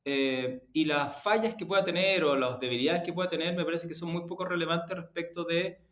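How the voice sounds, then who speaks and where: A man giving measured, reflective answers as an interviewed executive. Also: a little high, fairly even intonation, fairly bright tone. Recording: roomy, echoing room.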